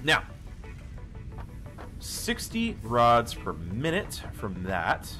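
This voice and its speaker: A man casually narrating his own building game, talking to himself with wry asides and a slightly hoarse voice. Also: mid-range voice, wildly swooping pitch, drawn-out words. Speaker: let's play host. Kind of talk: casual gameplay commentary. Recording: voice-over with loud game music behind.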